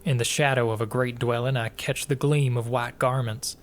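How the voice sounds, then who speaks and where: An actor playing a tormented husband confessing to murder, reading a gothic tale in a somber, formal, literary manner. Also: male voice, medium pitch, fairly even intonation, moderate pace, close to an everyday voice. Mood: bleak, melancholy. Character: tormented, guilt-ridden husband confessing to murdering his wife. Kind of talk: dramatic first-person reading of a gothic tale.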